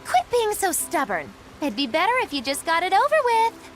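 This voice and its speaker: A woman's voice: very high voice, big pitch swings, normal speed, slight background noise. A woman voicing a mischievous, smug young witch in a video game, teasing and theatrical. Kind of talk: English video game voice acting.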